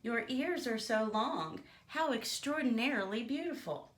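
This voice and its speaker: A woman's voice: a little high, expressive, measured pace, echoey room, voice pushed up. A woman voicing a vain, rude male storybook pig in a pompous, theatrical manner. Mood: charmed.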